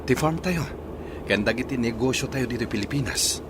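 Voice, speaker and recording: A man's voice: medium pitch, expressive, moderate pace, background noise, close to an everyday voice. An actor with an Ilocano Filipino accent playing a headstrong grown son who longs for his homeland, in a radio drama. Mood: eager, nostalgic.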